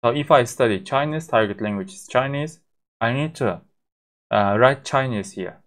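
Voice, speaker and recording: A man with a Turkish accent, a native Turkish speaker, teaching a course by walking through a software demo, with frequent fillers and halting restarts. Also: medium pitch. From Turkey. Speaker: course instructor.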